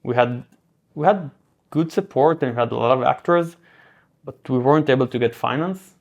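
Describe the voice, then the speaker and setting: A man's voice: medium pitch, normal speed, vocal fry, lo-fi sound. Israeli man with a Hebrew accent, rambling. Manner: relaxed, rambling with many fillers and restarts. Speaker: guest. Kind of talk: casual interview conversation.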